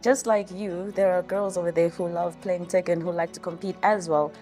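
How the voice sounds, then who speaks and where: A woman's voice: on the low side, fairly even intonation, normal speed. A woman gamer speaking in a documentary interview. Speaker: competitive gamer. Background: background music.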